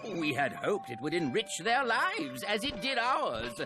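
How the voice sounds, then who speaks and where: A man's voice: high-pitched, big pitch swings, unhurried, loud music behind the voice, heavily acted. An actor playing a genial, doddering alien inventor in a video game, hesitant and theatrical as he rambles along. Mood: genial, fumbling. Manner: hesitant, rambling, theatrical, chuckles at own jokes. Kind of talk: animated video game orientation narration and dialogue.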